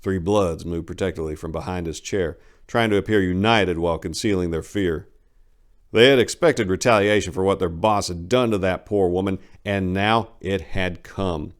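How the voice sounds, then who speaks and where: A man's voice: low-pitched, moderate pace, clear, resonant tone. A man giving a theatrical, emphatic dramatic reading of a short story as narrator.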